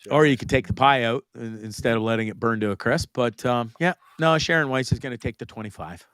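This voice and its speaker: A man's voice: medium pitch, fast, a bit bright, clear, resonant tone, no room sound. Congested Canadian man chatting, close dry sound. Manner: slangy, joking, blunt. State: sick with sore throat, congested. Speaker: podcast host. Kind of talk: casual chat, swearing freely.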